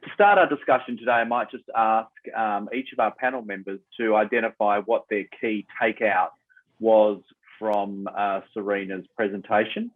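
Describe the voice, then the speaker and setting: A man's voice: lowish voice, fairly even intonation, moderate pace. A man chairing an online panel discussion, moderating and handing over to speakers. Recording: tinny phone-like online call audio.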